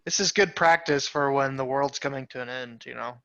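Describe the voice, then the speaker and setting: Wry male student, bright gravelly voice, dry and slightly muffled call audio. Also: mid-range voice, moderate pace, dry recording. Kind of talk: casual chat on a video call. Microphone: video call.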